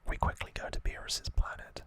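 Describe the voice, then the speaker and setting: A man's voice: brisk, a bit bright. A man whispering as an ASMR host, giving an informal, rambling trivia recap and correcting himself as he goes.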